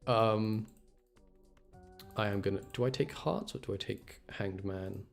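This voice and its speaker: Low-voiced young man chatting on stream. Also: a little slow, vocal fry, faint music behind. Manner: rambling, thinking aloud. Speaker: game streamer. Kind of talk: casual gaming stream chat.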